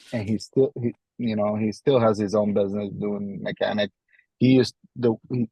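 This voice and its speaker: A man with a deep-leaning, mellow voice and a Guatemalan Spanish accent answers as a guest in a casual interview. He speaks haltingly, circling back over his phrases. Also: husky, no echo, rough recording. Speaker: podcast guest, tradesman.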